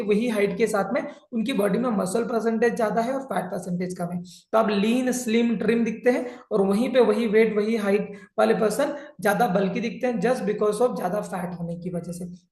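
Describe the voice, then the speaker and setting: A man in his thirties, a fitness and health coach, patiently explaining body composition in a plain teaching style. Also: high-pitched. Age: 30s. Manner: plain, patient explaining with many English terms. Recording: roomy echo.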